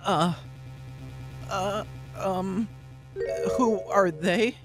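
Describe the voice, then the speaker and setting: A woman's voice: mid-range voice, drawn-out words, music in the background, rough recording. A woman voicing an earnest young male defense lawyer, hesitant and incredulous, in an amateur game fandub. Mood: alarmed, demanding.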